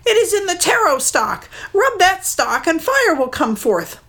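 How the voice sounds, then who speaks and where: Woman voicing a sly, frightened mud hen, very high and squeaky, storybook bird. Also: sing-song, measured pace, a bit bright, full character voice. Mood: pleading shrill.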